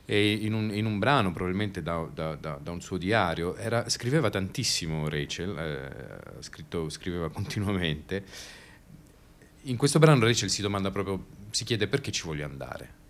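Hesitant man with a low voice. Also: expressive, moderate pace, a bit bright. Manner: hesitant, searching for words, repeats himself. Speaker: radio presenter introducing dramatic readings. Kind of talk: unscripted spoken commentary.

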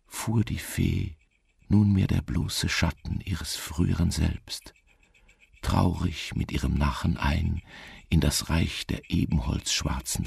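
A man narrating a literary radio drama in a slow, somber, measured way, with a gravelly, husky voice. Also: rumbling low, dry recording.

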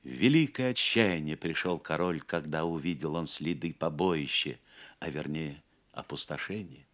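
A man narrating an audio play as a dramatic storyteller, solemn, theatrical and measured. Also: extremely low pitch, fairly bright tone.

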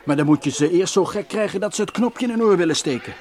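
A worried, cautious companion on a jungle expedition in a radio play. His voice sits a little high and swings widely in pitch. He grumbles and scolds protectively in colloquial phrases. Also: expressive, quick pace, a little mellow. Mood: worried, sceptical. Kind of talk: old radio play acting.

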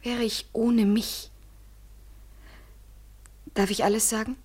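An actress playing an anguished, submissive woman desperately in love, breathy, in an intense poetic radio play. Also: on the high side, flat delivery, slow pace, a little mellow. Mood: fearful, resigned.

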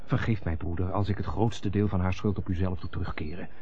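An actor in a radio drama playing a loyal, persuasive friend and go-between, speaking in earnest, formal rhetoric. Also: male voice, deep voice, fairly even intonation, a little fast, darkish tone, a little hiss or hum, close to an everyday voice. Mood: impassioned pleading. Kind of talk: classic European radio drama acting. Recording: dull lo-fi old radio recording.

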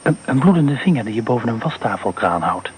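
A man's voice: medium pitch, big pitch swings, a little hiss or hum, close to an everyday voice, rough recording. A man playing a haunted, brooding war survivor. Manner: slow, brooding, introspective monologue. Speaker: radio play actor. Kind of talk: radio drama within a radio broadcast.

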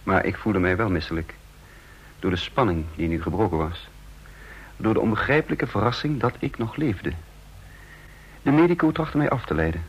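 A brooding spy in an old radio play, low husky voice, measured inner monologue. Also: male voice, animated, low-quality recording. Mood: tense reflection. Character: shaken secret double agent posing as another man, brooding. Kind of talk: Dutch radio drama acting with inner-monologue narration.

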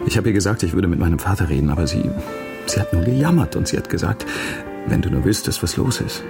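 A man's voice: low voice, sing-song, quick pace, gravelly, over music, exaggerated voice. A man acting a troubled, guilt-ridden young man in a radio crime drama, hesitant and repeating himself. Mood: troubled, confessing.

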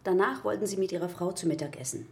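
An actress playing an efficient, polite political assistant in a naturalistic radio drama, businesslike and deferential. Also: female voice, lowish voice, fast talker, natural voice. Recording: office noise with keyboard clicks.

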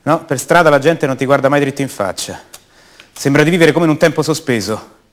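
An actor playing an ordinary, self-doubting man back home, speaking a halting, conversational monologue in a radio drama. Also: a little high, close to an everyday voice. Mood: casual, reassuring. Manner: halting, conversational, rhetorical questions to the listener.

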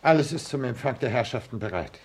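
An actor playing a stiff, fervent private secretary in a 1960s German radio play, speaking formally and declaiming with precision. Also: male voice, mid-range voice, animated, quick pace. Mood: stiff, tense, deferential. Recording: noisy vintage radio recording.

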